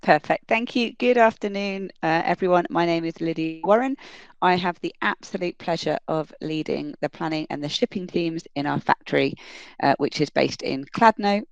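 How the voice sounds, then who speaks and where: A British woman speaks conversationally as a panel guest. Her voice has a noticeable gravelly creak and is captured dry, with little room sound. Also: fairly low pitch, normal speed, no room sound, highs cut off. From United Kingdom. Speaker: guest, factory planning and shipping lead. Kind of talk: conversational self-introduction on a panel.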